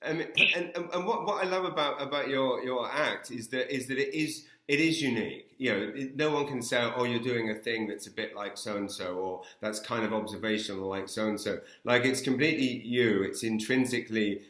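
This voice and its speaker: A man's voice: medium pitch, moderate pace, few pauses, a bit bright. A British man interviewing in a casual chat, effusive and often stammering over repeated words. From England. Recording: roomy, echoey sound.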